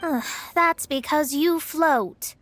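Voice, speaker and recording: A woman's voice: high voice, slow pace, fairly bright tone. An actress playing a proud, boastful young female astrologer in a video game, with a haughty, formal, theatrical manner. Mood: weary, irritated. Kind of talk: scripted video game dialogue. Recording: music behind the voice.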